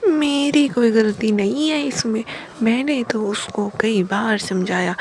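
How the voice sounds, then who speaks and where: A woman playing an aggrieved mother who complains and justifies herself in an animated moral story. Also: a little high, takes it slowly, slight background noise. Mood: defensive, aggrieved. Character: defensive, aggrieved mother of a playful girl.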